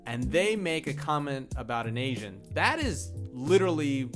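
An American man co-hosting a podcast, chatting casually in an animated, joking way. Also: on the high side, moderate pace, over music. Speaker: podcast co-host. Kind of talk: casual comedic chat.

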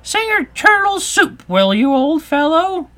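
A man voicing a bossy, impatient, excitable Gryphon in a storybook reading. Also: very high voice, unhurried, voice pushed up, full character voice. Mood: brusque. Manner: impatient, exclamatory. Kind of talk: storybook character acting.

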